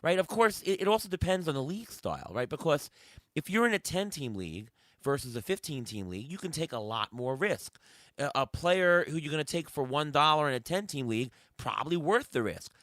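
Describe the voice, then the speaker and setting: A hoarse man hosting a sports podcast, firing off questions and thinking aloud in casual chat. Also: on the high side, big pitch swings, fairly quick, fairly bright tone, dry recording. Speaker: podcast host.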